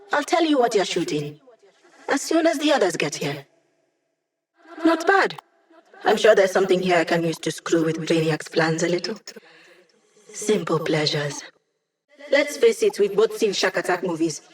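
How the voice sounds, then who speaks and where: A woman voices a young hacker with a dry, deadpan wit, tossing off sardonic asides to her squad. She talks in an easy, natural voice of middling height, like a game's tech-support character. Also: medium pitch, close to an everyday voice. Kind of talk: video game voice lines.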